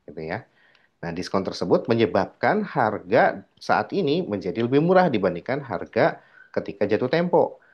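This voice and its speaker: A man gives an informal recorded lecture in a fairly low voice. He explains step by step, posing rhetorical questions and answering them himself, with frequent check-in tags. The sound is a little muffled and lo-fi. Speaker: accounting lecturer.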